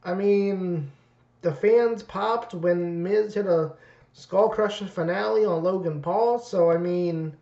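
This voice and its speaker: A man reviewing wrestling in a casual, rambling way, his voice raspy and worn. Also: sits high, slow, warm tone. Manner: rambling, many fillers and restarts. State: raspy voice from two nights of events. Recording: dull roomy lo-fi audio.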